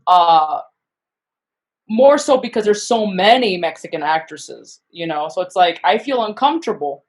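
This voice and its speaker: A woman in conversation as a podcast guest, animated and self-deprecating, with quick asides. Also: lowish voice. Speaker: podcast guest, actor and comedian. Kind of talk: casual interview chat.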